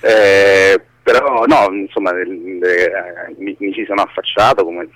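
Male radio guest, lowish rough-edged voice, conversational, hesitant as he hunts for words. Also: fairly even intonation, fairly bright tone, no room sound, low-quality recording. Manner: halting, searching for words. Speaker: guest, screenwriter. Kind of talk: casual live radio interview.

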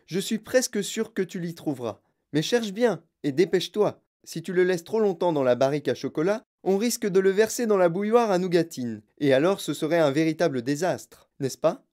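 A narrator as a flamboyant, animated eccentric factory owner. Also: mid-range voice, normal speed, pitched above the performer's own voice, lightly acted. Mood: brisk instructing. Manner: animated, emphatic, mischievous.

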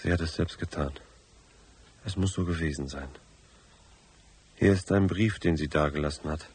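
Low, husky, terse country man. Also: moderate pace, darkish tone. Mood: grieving, defensive. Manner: terse, plain-spoken. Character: gruff rural husband, troubled caretaker of a lakeside property. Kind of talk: radio drama acting.

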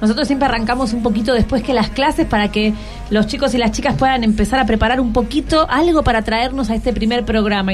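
A woman with an Argentine accent hosting a casual radio interview, asking simple questions in a studio. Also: high-pitched. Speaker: radio host.

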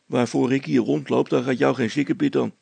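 A man playing a bold, gruff man in a story, scolding a boy in a blunt, dismissive, colloquial way. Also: mid-range voice, steady tone, a little fast, a little mellow, no room sound, pitched above the performer's own voice. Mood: indignant.

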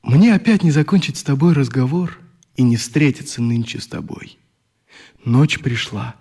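A man's voice: medium pitch, expressive, moderate pace, dark tone, slightly rough. An actor in a radio play playing a sick, irritable young man who leads youngsters with idealism. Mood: quiet, earnest. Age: young adult. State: coughing, sick with flu.

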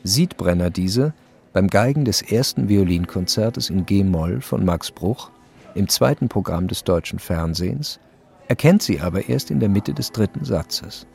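A man narrating a radio play as a detached, precise storyteller, matter-of-fact and deadpan. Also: sits low, measured pace, vocal fry, slightly rough, heavily acted.

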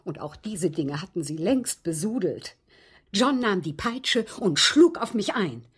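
An actress playing a genteel, aggrieved widow in a German radio drama, speaking in precise, formal, dramatic phrases with a husky voice. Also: female voice, lowish voice, very animated, a little slow, heavily acted. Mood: bitter, composed. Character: genteel, aggrieved widow recounting her captivity.

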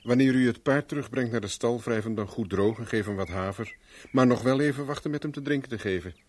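An actor playing a flippant, flirtatious private investigator in a radio drama, with wry, teasing banter. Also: male voice, medium pitch, fast, darkish tone, lightly acted. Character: flippant, flirtatious male private investigator, world-weary charmer.

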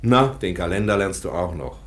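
A gentle old-world professor in a radio play, low, melodic delivery. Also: male voice, animated, normal speed. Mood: patient. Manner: gentle, patient, explaining. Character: kind patient professor and teacher.

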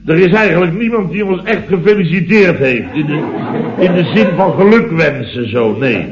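An actor playing a weary, long-winded company boss who blusters and rambles in a radio comedy. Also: male voice, on the high side, animated, moderate pace, background noise. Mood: indignant, amused. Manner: blustering, rambling, tagging phrases with "hè". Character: sleepy, grumbling, long-winded married male company boss. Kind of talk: 1970s radio comedy acting. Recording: dull old radio recording, studio audience.

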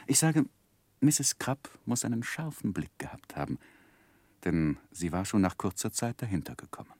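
A man acting an earnest, lovestruck young gentleman who recalls his youth in formal, gently self-mocking in a 1960s radio drama. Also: on the low side, very animated, moderate pace, dry recording. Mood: wistful, wry. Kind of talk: literary first-person narration, 1960s German radio drama.

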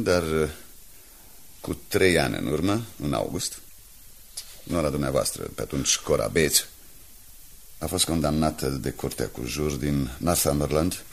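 An actor playing a falsely apologetic blackmailer in a radio drama, formal and deliberate, with feigned politeness. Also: male voice, a bass voice, vocal fry, full character voice. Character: smooth-talking, falsely apologetic male blackmailer.

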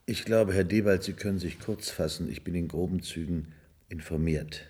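Weary male official, very low husky voice, restrained, curt, radio drama. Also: moderate pace, darkish tone. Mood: reserved, uneasy. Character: reserved, weary male official of a dying Earth. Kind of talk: German radio play acting.

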